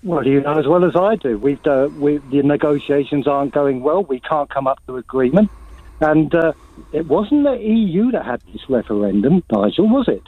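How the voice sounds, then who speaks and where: A man's voice: fairly high pitch, expressive, normal speed, dry recording. A man calling in to a radio show, challenging the host in a combative way. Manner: challenging, argumentative. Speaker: caller. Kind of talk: phone-in argument. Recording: phone line.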